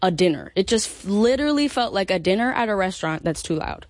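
A woman's voice: medium pitch, expressive, quick pace, no room sound, studio quality. A young woman, a singer guest, chatting casually, laughing often and swearing freely. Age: young adult. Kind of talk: casual interview chat.

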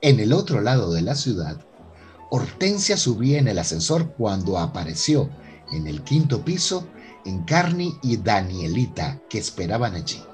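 A man's voice: on the low side, big pitch swings, normal speed, a little mellow, soft background music. A man giving scripted narration for a Spanish-language radio soap.

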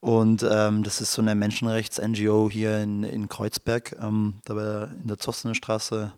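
Flat-voiced man explaining at length. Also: on the low side, measured pace, clean tone, no room sound, clean studio sound. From Germany. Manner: explains at length with many fillers and restarts. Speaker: guest, dramaturg and human rights lecturer. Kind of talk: casual interview chat. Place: studio.

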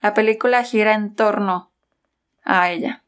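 Female podcast co-host, mid-pitched, informal and loosely phrased review chat. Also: fairly even intonation, a little slow. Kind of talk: casual conversational film review.

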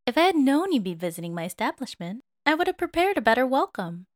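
A woman's voice: high-pitched, big pitch swings, quick pace, no room sound, clean studio sound, pitched above the performer's own voice. A woman voicing a gracious, respectful retired brothel mistress in an audiobook. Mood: gracious awe. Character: gracious, awed retired female brothel mentor. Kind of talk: audiobook character dialogue.

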